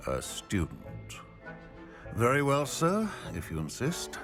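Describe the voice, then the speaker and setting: An actor playing a discreet, deferential and dry potions professor in a video game cutscene. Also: male voice, mid-range voice, wildly swooping pitch, takes it slowly, music in the background. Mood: reluctant, dry.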